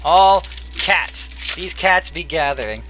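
A young man chatting casually while opening card packs, murmuring card names and getting excited now and then, his voice going hoarse. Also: fairly high pitch, slow pace, low-quality recording. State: losing his voice. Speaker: card pack opener. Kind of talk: casual pack-opening commentary. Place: small room at a table. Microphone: headset.

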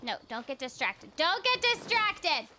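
A woman's voice: very high voice, sing-song, unhurried, noisy background. A young adult woman chatting casually on a game livestream, thinking aloud as she plays. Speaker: streamer, voice actor. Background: game music.

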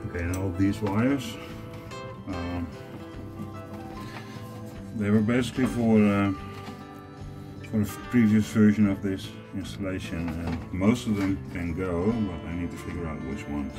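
A man in his forties explaining hands-on work casually to camera, halting as he thinks aloud. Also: low-pitched. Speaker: host of a boat DIY channel. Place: indoors, home living room and boat cabin. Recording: echoey room, music behind.

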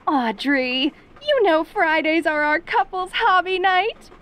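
Cheerful yandere mother, extremely high voice, unhurried, syrupy, doting and teasing, audio roleplay. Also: animated, a bit bright, exaggerated voice. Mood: sweet chiding. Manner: sugary, drawn-out, coaxing.

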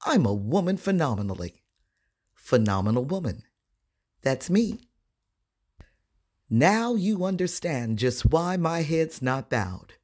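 A woman voice-over artist giving an expressive, dramatic poetry recitation with confident, deliberate phrasing and a husky voice. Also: deep voice.

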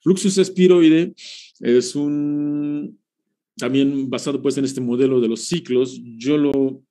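A man's voice: mid-range voice, fairly even intonation, takes it slowly, warm tone. A middle-aged man, a theatre professor from Mexico, giving an academic talk over a video call, with frequent "eh" fillers and self-corrections. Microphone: video call, webcam.

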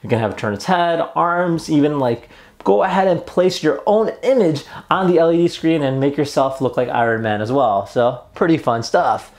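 A man's voice: on the high side, animated, moderate pace, a little mellow. A young adult man presenting a casual product unboxing and review in an upbeat, conversational way, indoors in a small room. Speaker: host of an unboxing and review video.

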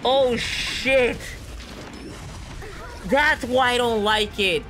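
A man's voice: very high-pitched, very slow, bright, forceful tone. A man with a Brooklyn accent giving casual, joking commentary while playing a game on a live stream. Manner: chatty, joking. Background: game music.

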